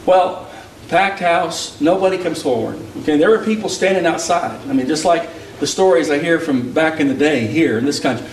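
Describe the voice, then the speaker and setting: A man's voice: fairly high pitch, a little hiss or hum. A man from Texas preaching a sermon and telling stories from his travels, with an emphatic, rising cadence. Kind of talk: sermon with personal storytelling. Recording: echoey hall picked up from a distance.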